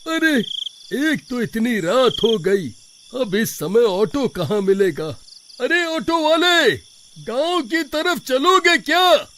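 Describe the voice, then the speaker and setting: A man's voice: very high voice, big pitch swings, takes it slowly, noisy background, full character voice. A man playing a helpless, panicky villager in a horror cartoon story, whining and pleading in an exaggerated way. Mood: anxious.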